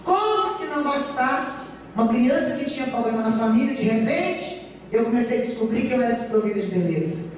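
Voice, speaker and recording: A woman's voice: fairly high pitch, animated, fairly quick, darkish tone. A forty-year-old woman preacher with a Goiás Brazilian accent, giving an emphatic, repetitive sermon built on personal testimony. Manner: emphatic, repetitive oratory with rhetorical questions to the congregation. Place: church during a live service. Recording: echoey church hall, distant mic, faint noise.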